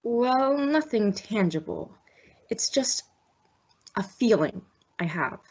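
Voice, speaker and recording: A woman's voice: on the high side, big pitch swings, slow, a little mellow, slightly rough, exaggerated voice. A young woman playing a worried, image-conscious store boss, formal and guarded, in an old-time radio drama. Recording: dull lo-fi audio.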